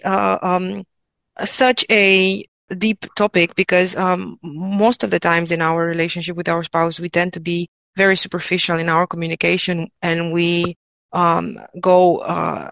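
A woman from Romania hosting a conversation, speaking thoughtfully with many pauses and fillers. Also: on the low side, fairly bright tone, no room sound. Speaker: host, interviewer. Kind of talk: conversational interview.

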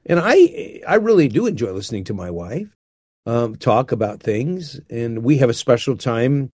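A man's voice: medium pitch, very animated, normal speed, darkish tone, no room sound, studio quality. An older man talking earnestly and unhurriedly about marriage, often restarting his phrases. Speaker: guest speaker on marriage. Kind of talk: reflective conversational talk.

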